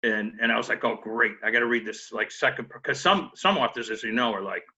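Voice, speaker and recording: Bright, forceful man in his fifties. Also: medium pitch, a little fast. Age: 50s. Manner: animated storyteller, teasing. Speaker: podcast co-host and novelist. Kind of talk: casual chat over a video call. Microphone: video call. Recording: lo-fi video call audio.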